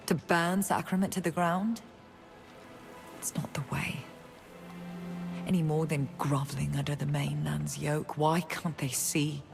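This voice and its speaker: Slow, creaky, weary townsperson. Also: mid-range voice. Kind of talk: dramatic fantasy game acting.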